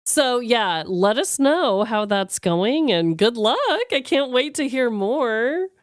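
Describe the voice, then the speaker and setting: A woman co-hosting a podcast, chatting informally in an expressive, animated way. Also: a little high, slow, no room sound, studio quality. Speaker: podcast co-host. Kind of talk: casual chat.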